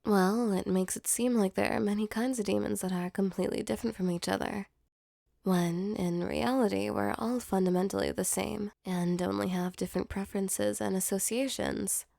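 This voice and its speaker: Female demon, acted by a woman, ASMR roleplay, creaky voice, dry close sound, soft-spoken, teasing yet tender. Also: medium pitch, steady tone, normal speed, no echo, close to an everyday voice. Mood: gentle, earnest. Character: female sleep paralysis demon, mock-menacing turned tender and flustered. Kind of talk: intimate ASMR roleplay audio drama.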